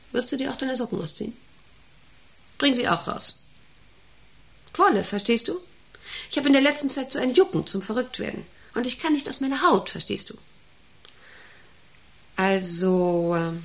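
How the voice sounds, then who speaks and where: An actress in a radio play as a domineering, eccentric factory director who rambles, commands and asks intrusive questions. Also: female voice, a little high, vocal fry, a bit bright, heavily acted. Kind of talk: German radio play acting.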